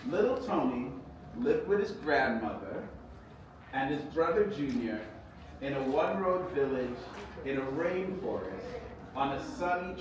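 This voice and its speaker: A man reading a children's story aloud in a measured, expressive storytelling manner. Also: mid-range voice. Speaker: author reading own book aloud. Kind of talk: children's storybook reading with sung hymn passages. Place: echoey indoor event space. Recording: echoey room, distant mic, clear background noise.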